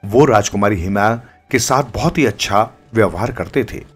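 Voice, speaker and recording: A man's voice: on the low side, normal speed, a bit bright, slightly rough, soft background music. A man narrating a scripted moral story as a storyteller.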